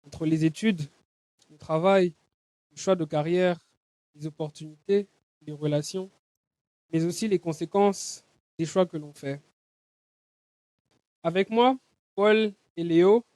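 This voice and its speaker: A male podcast host opens the show, moving briskly through a prepared introduction. His voice runs high and the sound is close and dry, with no room around it. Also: darkish tone, no room sound. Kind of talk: scripted episode intro.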